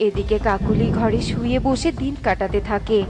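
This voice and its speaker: A woman's voice: mid-range voice, normal speed, loud music behind the voice. A woman narrator reading scripted children's storytelling narration.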